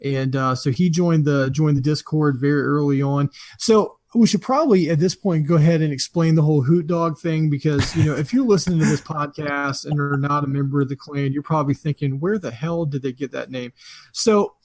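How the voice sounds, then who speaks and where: A man's voice: a little high, a little fast, a little mellow, dry recording. A man hosting a casual podcast, telling a long story with many fillers and easy laughs. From Florida. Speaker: podcast host.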